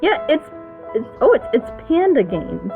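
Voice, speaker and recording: A woman's voice: high-pitched, sing-song, unhurried, vocal fry, over music. A woman hosting a group reaction show, chatting casually as she reviews an audio drama. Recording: noisy phone-like voice call.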